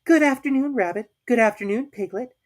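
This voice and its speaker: Lady putting on a motherly kangaroo lady, high and sing-songy, fussy, distracted, storybook style. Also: brisk, lightly acted. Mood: doting. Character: fussy motherly female kangaroo, gently preoccupied.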